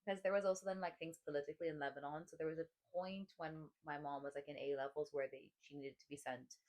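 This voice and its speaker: A young woman with a low voice and a neutral, American-sounding international-school accent. She co-hosts a casual podcast and talks in long, rambling runs full of fillers and restarts. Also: fairly even intonation, quick pace, darkish tone. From Middle East. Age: 20s. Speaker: podcast co-host. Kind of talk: casual chat.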